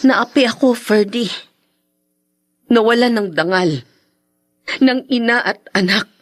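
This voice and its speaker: A woman's voice: on the high side, slow pace, pauses often. A woman playing a wronged, defiant and vengeful young woman in an emotional, combative Filipino radio drama style. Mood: defiant, bitter.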